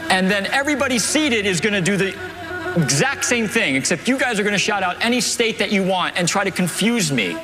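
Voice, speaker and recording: A man's voice: sits high, expressive, brisk, over music. A man performing a magic trick on a theater stage, giving the volunteers step-by-step instructions in upbeat live patter.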